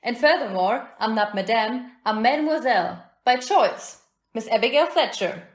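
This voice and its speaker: In a roomy, echoing recording, a feisty single lady, played by a woman speaks up in a slightly high voice. She is defiant and hard to rattle, brusque and plain-spoken in audio-drama style. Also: expressive, moderate pace. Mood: indignant, defiant. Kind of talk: audio play acting.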